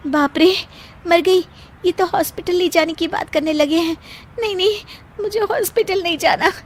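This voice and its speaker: A woman's voice: very high voice, moderate pace, voice pushed up. A woman voicing a sly, lazy young daughter-in-law muttering her schemes in a cartoon story. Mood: panicked. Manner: scheming, self-satisfied asides.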